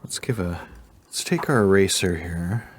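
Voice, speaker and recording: A man with a raspy voice, fighting off a cold, talking softly through a drawing tutorial as he sketches and thinks aloud. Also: low-pitched, unhurried, a little hiss or hum. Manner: soft-spoken, thinking aloud while sketching, sometimes half-whispered. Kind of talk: relaxed drawing tutorial commentary.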